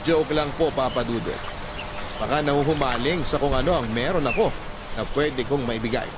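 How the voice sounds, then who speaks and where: A man's voice: medium pitch, moderate pace, noisy background, close to an everyday voice. A man playing a lovelorn, self-deprecating letter writer, confessing in a dramatised radio narration. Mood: wry, wistful. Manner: confessional, wry self-mockery. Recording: loud music bed under voice.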